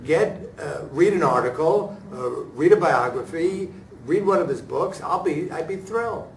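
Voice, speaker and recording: A man's voice: a little high, animated, moderate pace. A man speaking informally as a performer taking feedback in a discussion. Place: indoor room at a post-reading talkback. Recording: distant roomy recording with faint noise.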